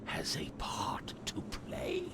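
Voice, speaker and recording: A man playing a grave, fervent doomsday preacher in a video game, with slow, solemn oratory. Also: in a whisper, natural voice. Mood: ominous. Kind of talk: video game cutscene acting.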